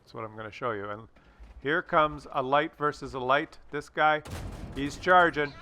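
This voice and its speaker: A man's voice: mid-range voice, normal speed, bright, forceful tone. A man giving casual commentary on a game replay, with a jokey, crude sense of humour and a habit of repeating his phrases. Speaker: host of gameplay commentary video.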